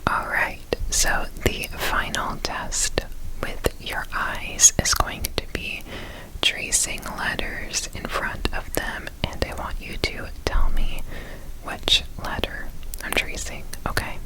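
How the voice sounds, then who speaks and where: A woman whispering softly and breathily in an ASMR roleplay, giving gentle, reassuring step-by-step instructions as an examiner. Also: takes it slowly, low-quality recording. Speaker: ASMR performer playing an examiner.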